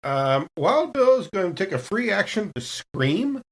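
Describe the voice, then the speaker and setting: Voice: medium pitch, big pitch swings, measured pace. A tabletop roleplaying player casually bantering and describing what their character does.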